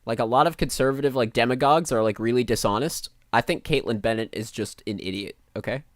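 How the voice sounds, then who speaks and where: A man's voice: medium pitch, quick pace, dry recording, clean studio sound. A man with a nasal voice giving blunt, sarcastic and profane live reaction commentary as a streamer.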